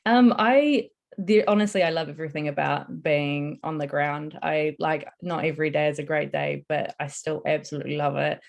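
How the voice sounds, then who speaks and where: Deep-voiced young New woman. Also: steady tone, normal speed, gravelly. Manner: enthusiastic, earnest. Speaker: interviewee, construction apprentice. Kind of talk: casual interview answers.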